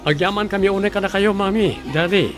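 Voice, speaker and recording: A man's voice: high-pitched, quick pace, natural voice. A man playing a devoted, earnest young husband in an Ilocano radio drama. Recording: music clearly under the voice.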